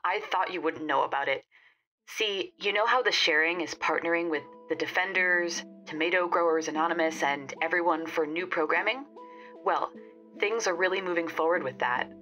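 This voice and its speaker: A woman plays a chatty, old-fashioned helper in a podcast drama. She confides in a lowish voice, with clear music playing behind her. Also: bright, forceful tone, lo-fi sound. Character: Nancy, chatty, helpful, slightly old-fashioned female informant. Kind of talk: audio fiction podcast acting.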